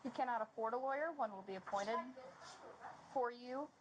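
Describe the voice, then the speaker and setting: Woman, police officer, formal recitation, fast pace, fairly high pitch, faint noise. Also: rough recording. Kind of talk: reciting legal rights formally.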